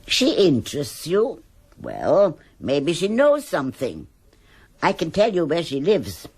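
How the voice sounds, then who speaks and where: Radio drama: sly, disdainful older female gossip, very low pitch, swooping delivery. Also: huge pitch swings, slow pace, darkish tone, breathy edge, heavily acted. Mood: scornful, sly. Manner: scornful, gossipy.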